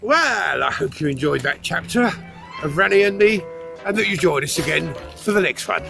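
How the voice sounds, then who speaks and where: A man's voice: fairly high pitch, expressive, moderate pace, gravelly. A man presenting an audiobook, addressing listeners in a scripted sign-off. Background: guitar music.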